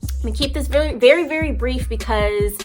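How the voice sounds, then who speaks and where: A woman's voice: high voice, fairly quick, music in the background. A woman in her twenties from Chicago, a nail tech chatting casually to her camera, rambling with lots of "like" and "um". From Chicago, United States. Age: 20s. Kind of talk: casual talking-to-camera vlog. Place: at home, now in Chicago.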